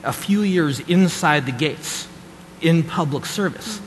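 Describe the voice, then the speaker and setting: A man's voice: a little high, expressive, slow pace. A man on a policy panel telling a story and urging students toward public service. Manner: storytelling, earnest advice to students. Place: large conference hall. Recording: echoey hall with faint noise.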